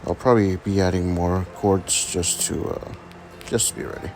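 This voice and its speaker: A man with a raspy voice from being sick, hesitantly walking through his game account in a casual screen-recording chat. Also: low voice, steady tone, normal speed, darkish tone, faint music behind. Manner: hesitant, many ums and restarts. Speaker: gaming channel host.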